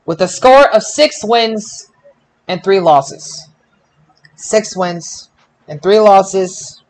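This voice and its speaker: A man with a notably high voice hosts a casual results show. He takes his time, stretching out announcements and repeating phrases like a ring announcer. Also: extremely slow, poor audio. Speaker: podcast host announcing results.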